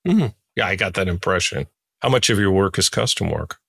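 A man's voice: deep voice, animated, fairly quick, creaky, fairly bright tone, husky, no room sound, studio quality. A man hosting a casual interview podcast in a laid-back, conversational way. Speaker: podcast host.

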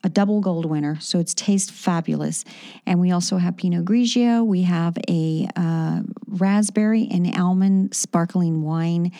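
A woman's voice: lowish voice, dark tone, no room sound, studio quality. A woman hosting a radio talk show, promoting her products with enthusiasm and lots of fillers. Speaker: radio show host and magazine publisher.

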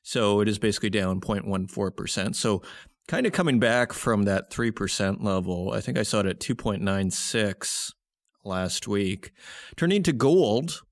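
A man's voice: lowish voice, expressive, normal speed. A man hosting a podcast, giving conversational market commentary and explaining in short phrases as he thinks aloud. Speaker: podcast host.

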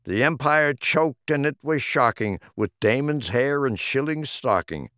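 A man's voice: mid-range voice, animated, a little slow, a bit bright, smooth, dry recording, studio quality. A man reading humorous rhyming poems as an audiobook narrator, with a theatrical, rhythmic delivery. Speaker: audiobook reader.

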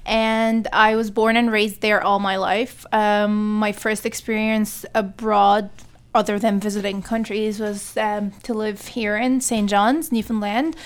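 A young woman from Egypt, a university student, introducing herself earnestly with quick bursts between pauses. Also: mid-range voice, flat delivery, smooth. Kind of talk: guest self-introduction.

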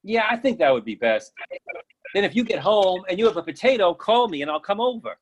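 A man's voice: high voice, big pitch swings, a little fast. A man reading the part of an oddly fixated, stubborn friend who argues silly logic earnestly in a comic play reading.

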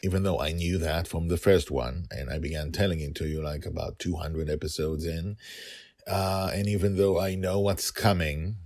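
Very deep-voiced man rambling on a podcast. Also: fairly even intonation, moderate pace, a little mellow, no echo, studio quality. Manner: rambling, emphatic, thinking aloud. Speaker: podcast host and writer. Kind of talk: informal podcast monologue.

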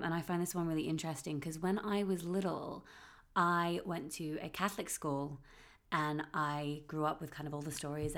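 A woman hosting a casual panel discussion, chatty and informal as she tells stories in an animated way. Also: deep voice. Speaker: podcast host. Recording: echoey room.

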